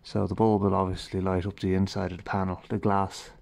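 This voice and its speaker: A man's voice: low-pitched, little pitch movement, brisk, creaky, darkish tone. An Irish man giving casual, hands-on repair commentary, thinking aloud in an informal way with wry understatement. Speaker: host of a radio repair video. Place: garage. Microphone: handheld phone.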